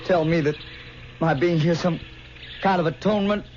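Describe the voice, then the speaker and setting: A man's voice: on the high side, fairly even intonation, moderate pace, darkish tone, lightly acted. A young actor playing a haunted, guilty man who stammers and pleads, in a 1970s radio drama. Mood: shaken. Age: young adult. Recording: dull 1970s radio broadcast with music.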